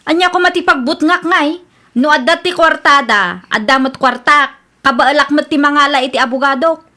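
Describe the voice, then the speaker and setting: A woman's voice: high voice, normal speed, pushed and bright. A woman with an Ilocano accent playing a brash, combative village troublemaker in a radio drama.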